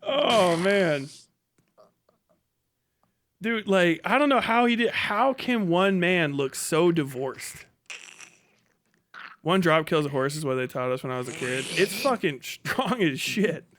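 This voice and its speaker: A middle-aged man speaks in a notably high register for a male voice. He delivers a comedic livestream rant, blunt and mocking, with heavy casual swearing throughout. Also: expressive, slow, pauses often, a bit bright. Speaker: live streamer, host.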